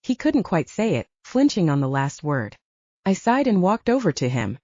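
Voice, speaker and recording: A woman's voice: fairly low pitch, big pitch swings, moderate pace, warm tone, dry recording, muffled recording. A woman reading scripted first-person audiobook narration.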